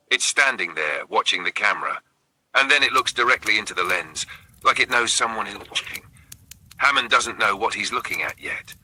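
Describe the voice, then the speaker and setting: Male horror narrator: raspy, very forceful tone, suspenseful delivery in short, dramatic phrases. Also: on the low side, low-quality recording. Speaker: narrator of a horror storytelling channel. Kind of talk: scripted narration, spooky campfire storytelling.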